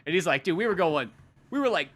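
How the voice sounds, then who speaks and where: Young adult male co-host, forceful bright tone, slangy casual read-along banter, quick to laugh and mimic. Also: fairly high pitch, lively intonation. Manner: laughs often, acts out lines and sound effects; slangy and profane. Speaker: podcast co-host and comic creator. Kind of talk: casual chat, animated comic read-along commentary.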